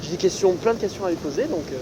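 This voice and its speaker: A young woman hosting a casual podcast interview outdoors, relaxed and hesitant, with many "euh" fillers. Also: fairly low pitch, racing, noisy background. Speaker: podcast host. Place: outdoors in a city on a hot day.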